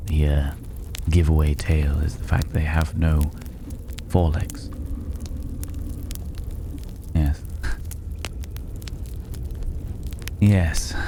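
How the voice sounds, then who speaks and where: Man playing a very deep, teasing mysterious drifter. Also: exaggerated voice. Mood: wry, amused. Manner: unhurried, wry, condescending, with drawn-out pauses. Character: regal, teasing male drifter, secretly a dragon. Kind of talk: intimate ASMR roleplay acting. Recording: clearly audible background noise.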